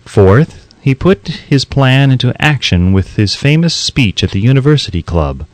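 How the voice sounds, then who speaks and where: Male audiobook narrator, formal and emphatic, animated pitch movement, dry close sound. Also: a little slow, no room sound. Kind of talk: scripted audiobook narration of a self-help book.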